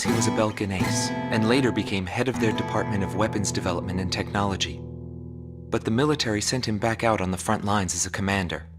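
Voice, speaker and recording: An actor playing a serious investigative narrator, delivering measured, grave documentary narration for a video game. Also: male voice, on the low side, natural voice. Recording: loud guitar music behind the voice. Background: loud guitar music.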